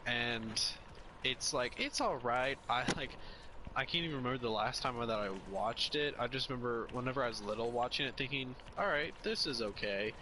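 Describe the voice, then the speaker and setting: A man's voice: medium pitch, moderate pace, background noise. A young man in casual chat as a podcast co-host, rambling and opinionated, often restarting his sentences.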